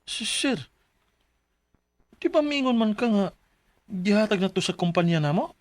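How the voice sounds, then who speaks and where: Higher-voiced troubled young Cebuano employee. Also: male voice, animated, normal speed, no room sound. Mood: anxious, hesitant. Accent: Cebuano Filipino. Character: distressed young male employee accused of stealing a laptop. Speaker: letter sender in a radio drama. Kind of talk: Bisaya radio drama acting and letter narration.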